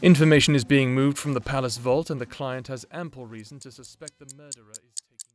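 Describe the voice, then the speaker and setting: A man playing an earnest, reserved private investigator in an anime roleplay audio drama, speaking in measured, formal phrases. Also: medium pitch, faint music behind, close to an everyday voice. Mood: serious, caring. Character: earnest male private investigator, reserved, secretly fond of client.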